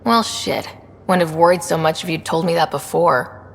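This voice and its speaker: Gravelly, casual space explorer. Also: female voice, deep voice, steady tone, moderate pace, big-room sound. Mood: dry concern. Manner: dry, casual, friendly. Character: plainspoken, curious young female space explorer hero. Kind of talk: video game dialogue acting.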